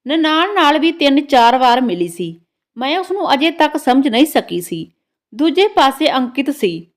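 A woman's voice: sits high, big pitch swings, moderate pace. A woman narrating a scripted first-person story in a dramatic storytelling manner.

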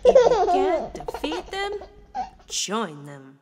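A woman's voice: very high-pitched, wildly swooping pitch, slow pace, big-room sound, heavy background noise. A woman storyteller reading a children's picture book aloud in a theatrical way, moving between whispers and exclamations.

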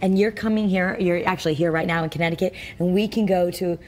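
A woman's voice: fairly low pitch, fairly even intonation, fast talker, a little mellow. Bubbly woman TV host, faint hiss. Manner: bubbly, laughs often. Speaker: TV show host. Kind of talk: TV chat interview.

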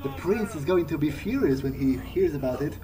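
A man role-playing in character while gaming, thinking aloud in halting, musing reactions. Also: a little high, animated, poor audio. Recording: game audio and music behind.

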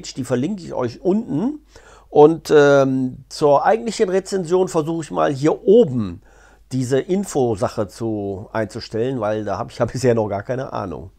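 Lively middle-aged man, chatting casually. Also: medium pitch, moderate pace. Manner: colloquial, chatty, with wry asides. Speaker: vlogger and audio drama reviewer. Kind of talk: casual unscripted explainer to camera. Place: living room, seated on a sofa indoors. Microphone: clip-on lapel mic.

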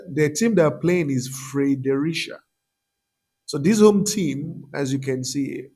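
Young Nigerian man, husky, relaxed chatty host. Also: medium pitch. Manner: chatty, many "um" fillers, addresses viewers directly. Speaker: betting tips channel host. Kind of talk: casual screen-share commentary.

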